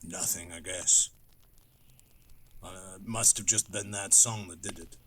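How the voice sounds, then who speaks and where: An actor playing a superstitious, uneasy wilderness guide in an audio horror drama, speaking in a halting, folksy, evasive way. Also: male voice, deep voice, steady tone, pushed and bright, a little hiss or hum. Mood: uneasy, hushed.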